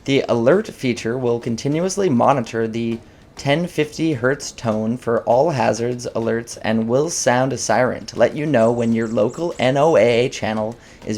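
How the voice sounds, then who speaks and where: A man reads scripted product review narration in formal, measured phrases. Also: medium pitch, a little mellow. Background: background music.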